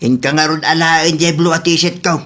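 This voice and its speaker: A woman's voice: low-pitched, steady tone, moderate pace, a bit bright. A woman playing a cheeky, evasive young member of an Ilocano household in a comedy drama, with an Ilocano Filipino accent. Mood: playful, whiny.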